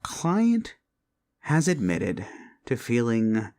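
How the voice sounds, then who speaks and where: Man acting a slow, creepily sweet obsessive boyfriend. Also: mid-range voice, wildly swooping pitch, darkish tone, exaggerated voice. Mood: indignant, mocking. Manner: theatrical, coaxing, deliberate with pauses, drifting into whispers. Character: obsessive, possessive yandere boyfriend, creepily sweet and mock-sincere. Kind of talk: ASMR roleplay voice acting.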